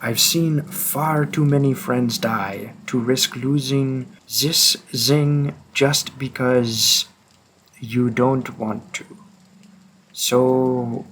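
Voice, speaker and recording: A man playing a grim, driven vampire who hunts his own kind in an audio roleplay, speaking with an attempted French accent in a deliberate, halting, intense way. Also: mid-range voice, fairly bright tone, a little hiss or hum, low-quality recording. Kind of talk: audio roleplay spoken to the listener.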